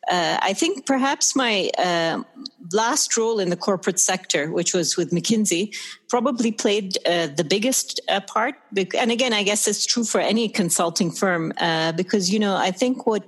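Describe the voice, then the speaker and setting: Emirati woman guest on an interview, kinda low voice, conversational, explains things thoughtfully. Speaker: interview guest, business executive.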